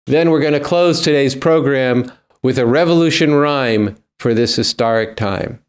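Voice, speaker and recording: A man's voice: mid-range voice, normal speed. A man hosting a show, delivering a scripted introduction to the program. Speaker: show host.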